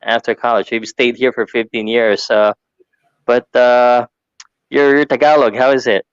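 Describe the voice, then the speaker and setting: A man from the Philippines who hosts a casual sports interview and keeps restarting his questions in a friendly, hesitant way. His voice is mid-pitched and sounds dry and a touch dull, with little room around it. He often slips into phrases from another language as he asks his questions. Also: level tone, normal speed, clean tone, no room sound. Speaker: podcast host.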